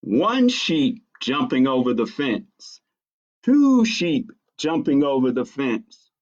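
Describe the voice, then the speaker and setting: A man reading picture books aloud to children as a library storyteller, expressive and adding his own asides about the pictures. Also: mid-range voice, slow pace. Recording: dull lo-fi audio.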